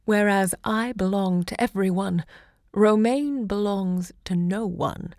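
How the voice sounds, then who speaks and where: Grand, slow-speaking romantic salon hostess. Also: female voice, medium pitch, darkish tone, no room sound, studio quality. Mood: tender, wistful. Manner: theatrical, declamatory, eloquent. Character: grandly romantic, self-assured literary salon hostess and seductress. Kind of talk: audio drama monologue.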